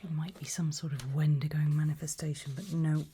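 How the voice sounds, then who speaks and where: A British woman whispering an ASMR roleplay as a creepy, probing examiner, asking questions slowly and deliberately with quiet playfulness. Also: low-pitched, steady tone, a little hiss or hum. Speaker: ASMR roleplayer playing an interviewer.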